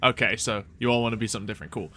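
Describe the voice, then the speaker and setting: Bright-voiced male game master. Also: on the low side, steady tone, rapid-fire. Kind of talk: casual tabletop game explanation.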